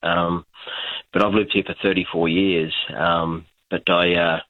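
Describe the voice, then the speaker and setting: A man's voice: low-pitched, flat delivery, measured pace, no room sound. A man from Australia with a Queensland accent, calling in to a radio show in a laid-back, chuckling way and repeating his points. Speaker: caller. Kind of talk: phone-in chat. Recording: tinny phone line.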